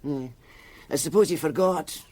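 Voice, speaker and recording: Wry, needling police doctor. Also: male voice, on the high side, unhurried, mellow. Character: shrewd, teasing male police doctor, probing and wry. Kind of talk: radio drama acting.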